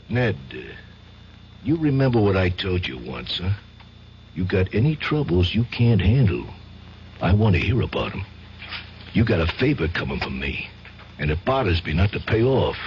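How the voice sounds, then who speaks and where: An actor playing a tough, loyal mobster friend in a 1970s radio drama, streetwise and brash. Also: male voice, deep voice, big pitch swings, moderate pace, pauses often. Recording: dull old radio recording, faint hiss.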